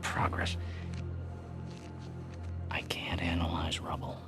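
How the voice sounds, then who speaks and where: A man's voice: sits low, monotone, takes it slowly, in a whisper. An actor playing a proud, sardonic, testy male treasure hunter in a cinematic video game, clipped and condescending. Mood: dry, irritated. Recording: game dialogue over music.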